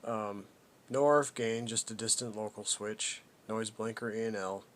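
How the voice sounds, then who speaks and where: A man giving a casual, unscripted product walkthrough as a hobbyist, haltingly thinking aloud as he goes. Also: fairly low pitch, steady tone, very noisy. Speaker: hobbyist presenter demonstrating a vintage CB radio.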